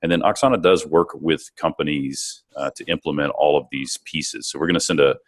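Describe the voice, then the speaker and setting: A man's voice: rumbling low, brisk. A man hosting a webinar, upbeat and reading out audience questions to his guest. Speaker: webinar host, moderator. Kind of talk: moderating a webinar Q&A.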